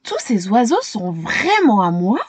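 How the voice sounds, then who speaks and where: A woman acts out a kindly bearded man from a children's story. The delivery is exclamatory and good-humoured, swinging widely in pitch. The sound is close and dry. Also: very animated, measured pace, husky, no echo. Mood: puzzled. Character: bearded man, kindly, generous truck-driving hen owner.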